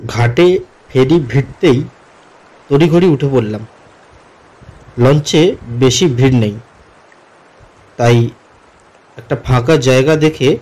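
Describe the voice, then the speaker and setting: A man playing an uneasy office commuter who narrates his own horror story in an expressive, atmospheric way for a cartoon. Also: medium pitch, lightly acted, poor audio. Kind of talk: dramatic first-person horror story narration.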